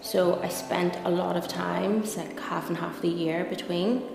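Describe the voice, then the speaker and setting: Belfast woman with a creaky, lowish voice. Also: steady tone, measured pace, a little mellow, a little hiss or hum. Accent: Belfast Northern Irish. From Belfast, Northern Ireland. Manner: conversational, frequent "like" and "you know". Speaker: interview guest, lawyer and content creator. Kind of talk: candid personal interview. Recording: echoey reverberant room, clear music behind. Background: music.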